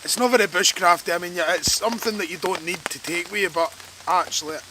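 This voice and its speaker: A man's voice: sits high, quick pace. A man trading sarcastic jokes and teasing banter at a woodland camp. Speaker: camping companion. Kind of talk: casual banter. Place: woodland camp outdoors in heavy rain. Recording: loud rain noise outdoors.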